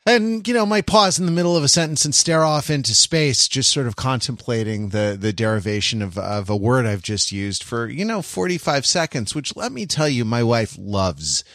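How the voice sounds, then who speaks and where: A man's voice: medium pitch, expressive, normal speed, hardly any pauses, fairly bright tone, dry recording, clean studio sound. A man co-hosting a podcast in casual, jokey banter, wandering into bookish digressions. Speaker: podcast co-host.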